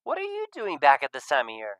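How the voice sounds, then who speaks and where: A man performs an upbeat young male furry friend in a visual novel. The voice sits high and swings widely, clean and close, with warm, teasing sarcasm and excitable delivery. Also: fast talker, no echo. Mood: perky, surprised. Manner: animated, exclamatory.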